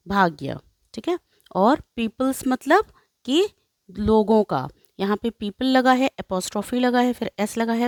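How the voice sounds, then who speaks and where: Indian woman teacher, slow and lively. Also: a little high, no room sound, clean studio sound. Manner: explanatory, repetitive, with frequent check-in tags. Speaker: English teacher. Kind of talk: line-by-line translation lesson.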